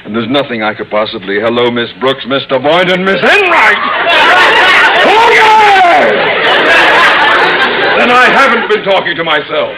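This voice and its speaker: Blustery pompous principal, voice swoops around, old radio comedy w/ loud hiss and audience. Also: male voice, sits high, sing-song, measured pace, pauses often, over a noisy crowd, heavily acted. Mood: horrified. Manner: blustering, stammering repetition. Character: pompous, flustered middle-aged male school principal, easily panicked. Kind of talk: old-time radio sitcom acting. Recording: noisy old radio recording, studio audience.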